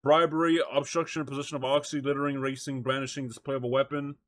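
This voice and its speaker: A man's voice: mid-range voice, little pitch movement, fast talker, no room sound. A man streaming live, chatting casually and slangily with his viewers and reading things aloud into a close microphone. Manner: slangy, says bro and chat often. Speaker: live streamer reacting to a video and talking to chat.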